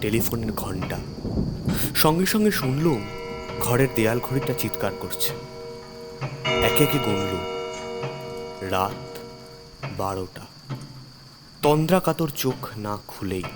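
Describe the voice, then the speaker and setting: A man narrating a horror story in the first person as a doctor, theatrical and suspenseful. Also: on the low side.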